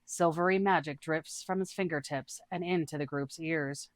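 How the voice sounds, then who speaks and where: Narrator reading out a fantasy game story, mid pitch, really dry sound. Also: steady tone, normal speed, no room sound. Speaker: storyteller, tabletop campaign player.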